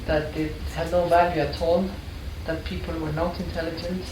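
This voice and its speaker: A woman's voice: low-pitched, level tone, moderate pace, recorded from a distance. An older woman from Ecuador, a longtime art history teacher, telling her story in an earnest, reflective way with frequent restarts. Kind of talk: personal storytelling interview. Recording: echoey room, mic set back, background noise.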